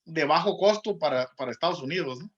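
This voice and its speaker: A man's voice: a little high, animated, quick pace, muffled recording. A man from Culiacán, hosting an interview and responding attentively and agreeably. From Culiacán, Mexico. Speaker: interview host. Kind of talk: conversational interview.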